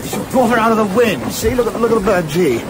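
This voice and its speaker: A brisk, hearty British yacht skipper acted by a man in a radio drama. His voice sits high for a man and rises and falls freely as he talks in chummy, practical, old-fashioned phrases. Noticeable ambient noise runs behind him. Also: big pitch swings. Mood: breezy, busy. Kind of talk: radio play acting.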